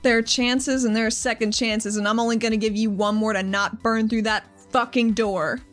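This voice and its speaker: A woman's voice: a little high, steady tone, moderate pace, lightly acted. A woman voicing a fierce heroine who protects her city in a tabletop game, firm and threatening. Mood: defiant. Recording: music behind the voice.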